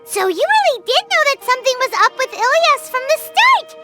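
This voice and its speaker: A woman voicing a tiny, excitable female fairy companion who talks about herself in the third person. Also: very high-pitched, a little fast, over loud music. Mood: excited. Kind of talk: game voice acting.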